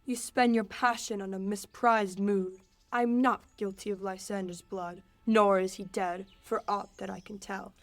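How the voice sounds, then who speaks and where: A lovesick Athenian youth acted by a female in her late teens in an amateur Shakespeare radio drama. The verse is earnest, at an average pitch. Faint music sits behind the voice. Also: steady tone, unhurried, close to an everyday voice. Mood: curt, bitter. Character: lovesick, rebuffed young Athenian man. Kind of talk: amateur 1940s-style radio play Shakespeare.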